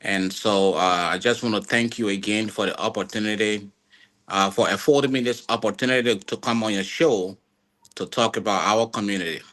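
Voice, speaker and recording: A man from Liberia with a Liberian English accent, a community association president speaking as a talk show guest in a measured, halting way with restarts. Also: lowish voice, steady tone, fairly bright tone.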